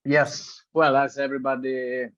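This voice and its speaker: A man's voice: mid-range voice, level tone, unhurried. An Italian man with an Italian accent, introducing himself carefully as a panelist and searching for words. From Italy. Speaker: panelist, sales director. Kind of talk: online panel discussion. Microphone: video call. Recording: muffled video call audio.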